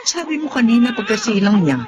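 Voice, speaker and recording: A woman playing an anxious, excitable middle-aged helper in a Filipino melodrama, flustered and exclamatory. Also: fairly high pitch, a little fast, muffled recording, background noise. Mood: shocked, relieved. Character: excitable, anxious older woman helping at a birth.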